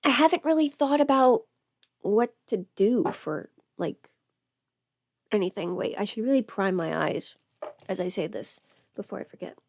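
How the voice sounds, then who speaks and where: A woman's voice: mid-range voice, lively intonation, normal speed, pauses often, darkish tone, dry recording. Dry-sounding woman, rambling casual livestreamer. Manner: rambling, self-interrupting, thinking aloud. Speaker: livestreamer chatting with viewers. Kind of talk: casual get-ready-with-me livestream chat.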